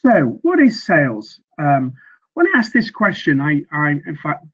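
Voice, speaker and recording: Slightly croaky middle-aged male coach. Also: fairly high pitch, huge pitch swings, a little slow, lo-fi sound. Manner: earnest, loose, full of fillers and restarts. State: slightly croaky from weeks of teaching. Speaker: sales communication coach. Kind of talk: presentation with slides.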